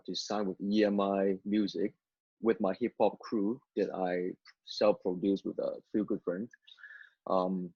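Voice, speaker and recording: Hong Kong man, first language Cantonese, halting storyteller, low voice, dull lo-fi sound. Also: warm tone, husky, no room sound. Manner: halting, repeats words, many fillers. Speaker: interview guest. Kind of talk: testimony interview.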